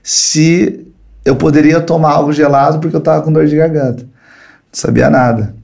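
A man's voice: on the high side, normal speed, gravelly. A middle-aged man from Brazil, a company CEO, telling stories animatedly as a podcast guest, dropping "cara" and "né" often. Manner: animated storytelling, frequent "cara" and "né". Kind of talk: casual podcast conversation. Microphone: podcast studio mic.

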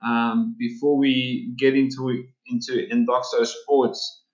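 A man hosts an interview in a casual, friendly way. His voice has a mid pitch and sounds roomy. The recording is a bit dull. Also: level tone, slow. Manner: friendly, calls guest buddy. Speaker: interview host.

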